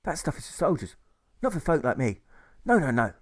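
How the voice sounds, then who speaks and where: A man voicing an earnest, devoted conscientious objector who is gently defiant, in an audiobook. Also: a little high, very animated, fairly quick.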